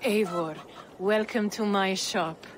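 A medium-pitched, slow female voice, acted for a game as a courteous trader. She is poised and formal, with slight background noise. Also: lightly acted. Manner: formal, measured. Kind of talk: dramatic video game cutscene acting.

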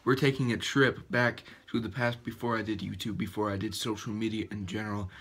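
Teen guy with a bright voice. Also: on the low side, steady tone, brisk, slightly rough. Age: teens. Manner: self-mocking, chatty, laughing at himself. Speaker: YouTuber. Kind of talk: casual reaction vlog. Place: bedroom, indoors. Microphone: built-in webcam mic at arm's length. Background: faint background music.

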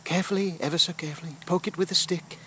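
A man hosting a playful mock wildlife documentary in a theatrical way, sometimes hushed and repeating his phrases. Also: sits high, steady tone, very fast. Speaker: host of homemade nature show. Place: outdoors in a grassy yard by trees. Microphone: handheld phone camera, speaker behind it. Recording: outdoor phone audio with insect noise.

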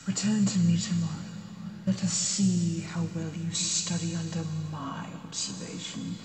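A gentle, learned female dragon, acted out by a woman in an ASMR fantasy roleplay, speaks in hushed, breathy, formal phrases. The delivery is very slow and even in pitch, proud and measured like a patient teacher. It rings with a long cave-like reverb. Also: over music, close to an everyday voice. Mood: stern but kind. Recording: cavernous reverb, distant, noisy ambience.

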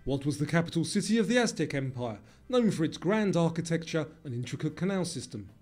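A man with a somewhat high voice narrates a quiz from a script. He reads each question and option clearly over background music. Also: animated, a little fast, darkish tone.

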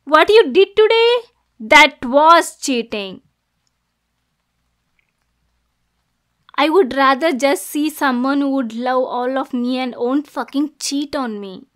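A woman's voice: high voice, expressive, measured pace. A woman voicing a possessive, manipulative young yandere girlfriend in an ASMR roleplay, cooing pet names with an unstable menace. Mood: furious, taunting. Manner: cooing pet names, switching between soft menace and cursing. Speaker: ASMR voice actor.

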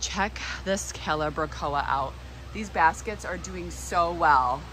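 Woman, thirties, slightly low but bright voice, enthusiastic casual vlogger, handheld sound in a greenhouse. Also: takes it slowly. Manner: chatty, enthusiastic. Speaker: plant nursery owner and vlog host. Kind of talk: casual hand-held vlog tour. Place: inside a greenhouse with running fans. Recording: noisy fans, handheld camera audio. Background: steady fan noise.

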